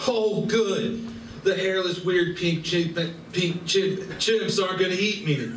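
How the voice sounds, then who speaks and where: A man's voice: high-pitched, takes it slowly. A man playing a sarcastic, exasperated furry alien con artist who complains in foul-mouthed style in a comedy radio play. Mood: bitter. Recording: roomy distant convention recording, clear noise.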